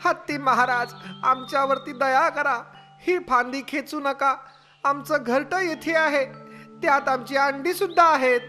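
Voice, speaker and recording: A woman voices a worried mother sparrow from an animated kids' tale. Her voice is high and bright, earnest and imploring. Soft music plays behind her. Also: unhurried. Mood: pleading. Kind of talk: animated storybook acting.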